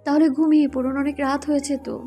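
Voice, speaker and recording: Demure, polite young bride, played by a woman, very high soft voice, romantic audio drama. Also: little pitch movement, fast talker, soft background music. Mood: polite, caring. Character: shy, polite young wife.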